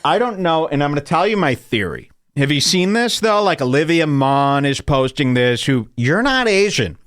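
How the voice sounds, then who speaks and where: Rich: A man with a higher-than-usual voice that swings widely in pitch, riffing through a provocative comedic rant as a podcast host. The sound is close and dry, with no room around it. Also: sing-song, moderate pace, a bit bright, no room sound. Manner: loose, provocative, laughs at own jokes.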